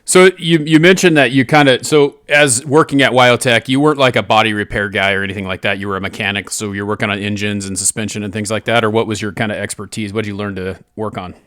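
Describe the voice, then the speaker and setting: A man's voice: fairly low pitch, fairly even intonation, quick pace, hardly any pauses, fairly bright tone, dry recording, studio quality. An American man hosting a podcast, interviewing a guest in an informal, conversational way. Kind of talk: casual interview chat.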